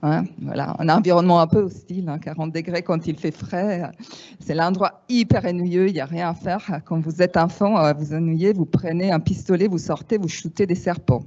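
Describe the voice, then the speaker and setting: A woman in her fifties from Italy, speaking French with an Italian accent, giving a lively, frank talk to an audience with wry asides. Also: lowish voice, normal speed. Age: 50s. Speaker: speaker at a panel. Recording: dull lo-fi sound.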